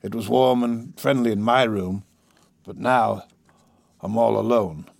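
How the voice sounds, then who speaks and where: Man reading as wrinkled old man, lonely, agitated, thin shaky voice, halting repeated words, literary storytelling, dry close sound. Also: medium pitch, lively intonation, no echo, pitched above the performer's own voice. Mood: forlorn.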